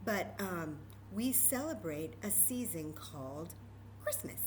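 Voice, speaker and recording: Slow, animated American woman reading to children. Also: fairly low pitch, slight background noise. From Texas, United States. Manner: expressive, talking directly to young children. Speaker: storytime reader and host. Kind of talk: children's read-aloud with chatty asides.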